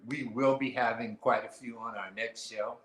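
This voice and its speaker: A man's voice: medium pitch, fairly even intonation, normal speed, a bit bright. A man co-hosting a casual live-stream show, talking in a loose, rambling way with plenty of fillers and restarts. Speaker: co-host of a live online artist marketplace show. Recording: roomy echo.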